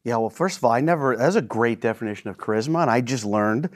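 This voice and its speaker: A man on a talk show panel, cracking halting jokes. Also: medium pitch, lively intonation. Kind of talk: comedic banter.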